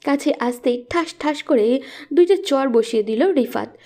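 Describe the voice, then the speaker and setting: A woman's voice: high-pitched, normal speed, warm tone. A woman reading scripted narration for a romantic story as its narrator.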